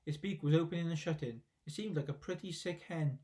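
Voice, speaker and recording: Guy reading a kids book out loud, expressive storytelling, voice a bit on the high side. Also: fairly quick. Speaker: story reader. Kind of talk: expressive children's story narration.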